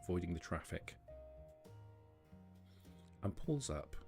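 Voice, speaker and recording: A man working as a game master, narrating a tabletop game scene in measured, atmospheric short phrases. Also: deep voice, very animated, vocal fry, dark tone. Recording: background music under voice.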